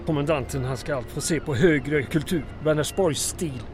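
A man's voice: a little high, music in the background, lightly acted. An actor playing an earnest, indignant townsman plotting against occupiers, in old-time radio drama style.

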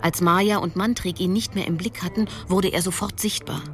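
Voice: mid-range voice, fairly even intonation, normal speed, background noise. A narrator reading a scripted fantasy story for a radio drama. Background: music.

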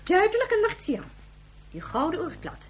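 A woman's voice: very high-pitched, sing-song, moderate pace, slight background noise. A woman playing an imperious, sceptical head of state in a radio drama, speaking in a formal, dry way. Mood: curious, pondering.

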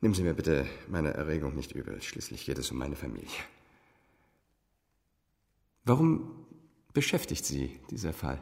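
Deep-voiced, guarded businessman in reverberant room. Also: very animated, brisk, vocal fry, darkish tone, full character voice. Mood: controlled, probing. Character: wealthy businessman father, guarded, indignant when questioned. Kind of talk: German radio drama acting.

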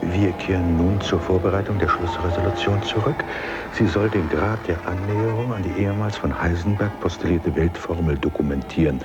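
An actor playing a composed, matter-of-fact congress reporter, giving formal commentary in a radio drama. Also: male voice, sits low, a little fast, lo-fi sound, over loud music, lightly acted.

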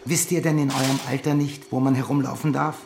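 A man playing a gruff, short-tempered wartime soldier in an audio drama, stern and barking. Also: mid-range voice, little pitch movement, a little fast, background noise, lightly acted. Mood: stern, interrogating. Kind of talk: German audio drama acting.

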